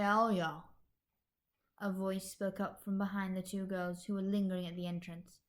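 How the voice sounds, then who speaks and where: A woman's voice: on the high side, a little mellow. A woman reading scripted story narration as an amateur fan fiction narrator.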